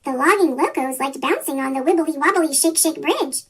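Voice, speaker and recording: A children's story reader with a pitch-shifted chipmunk voice, reading a picture book aloud from a script. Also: brisk, a bit bright, slight background noise. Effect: sped-up chipmunk pitch shift.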